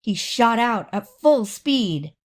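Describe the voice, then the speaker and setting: A woman reading a children's story aloud in an expressive, dramatic way, drawing out words for emphasis. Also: on the high side. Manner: dramatic, with drawn-out emphasis and acted sound words. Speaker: storybook reader.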